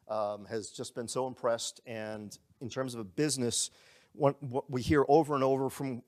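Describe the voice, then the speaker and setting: A man giving a live sales presentation to a group in a room, pitching in an upbeat, conversational way. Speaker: company presenter.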